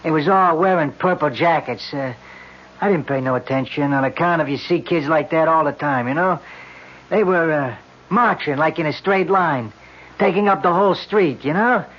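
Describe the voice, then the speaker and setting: Man playing a high-voiced, halting working-class witness. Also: close to an everyday voice. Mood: earnest, halting. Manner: halting, colloquial, rambling. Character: plain-spoken working-class male street eyewitness on the stand. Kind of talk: 1970s radio drama acting. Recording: dull old radio broadcast recording.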